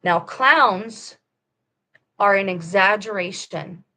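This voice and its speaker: A woman's voice: mid-range voice, slow, pushed and bright. A woman talking straight to her viewers in a casual, rambling monologue, firm and preachy in tone. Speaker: vlogger.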